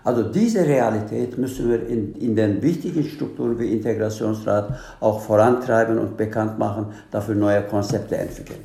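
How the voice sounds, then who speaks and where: A man speaks earnestly as a panel guest, his German halting and full of restarts. His voice is low, dark and gravelly, heard in an echoey room. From Turkey. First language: Turkish. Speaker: guest, candidate for integration council. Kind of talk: panel discussion.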